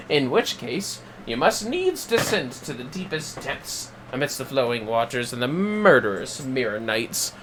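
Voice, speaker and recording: A man voicing a pompous, excitable young male scholar from a fantasy video game, with florid, theatrical delivery. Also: medium pitch, slight background noise, low-quality recording. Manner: grandiose, florid, alliterative, theatrical exclamations.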